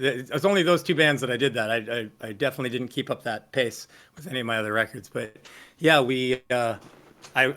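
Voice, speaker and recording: A man with a low voice casually telling stories in an interview, rambling with lots of fillers and restarts. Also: a bit bright. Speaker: singer interviewee. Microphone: video call.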